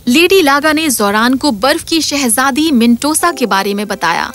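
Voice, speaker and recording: A woman's voice: high voice, lively intonation, a bit bright. A woman narrating a scripted children's fairy tale as a storyteller. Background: music.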